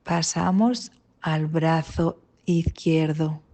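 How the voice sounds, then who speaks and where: A woman with an accent from Spain leading a guided meditation, calm and gentle, with long pauses between short instructions. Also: low-pitched. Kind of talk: guided mindfulness body scan meditation.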